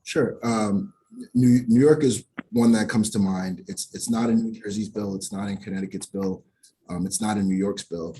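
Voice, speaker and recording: A man giving public testimony at a hearing as a policy advocate, earnest and halting, with frequent fillers and restarts. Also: fairly low pitch, warm tone, slight background noise, low-quality recording.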